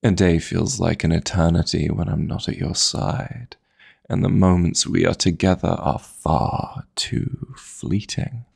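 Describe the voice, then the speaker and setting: A man playing a seductive, possessive yet tender dream demon lover in an intimate audio roleplay, murmuring flirtatious endearments in a husky, breathy voice close to the mic. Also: steady tone, a little slow, dry recording, heavily acted. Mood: wistful, longing. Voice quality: gravelly, husky, breathy low voice. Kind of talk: intimate romantic sleep-aid audio roleplay. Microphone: close, dry studio-style microphone.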